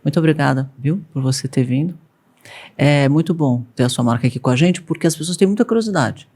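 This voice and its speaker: A woman's voice: deep voice, fast talker, dark tone, breathy edge. A middle-aged woman hosting an interview podcast, effusive and conversational. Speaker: podcast host.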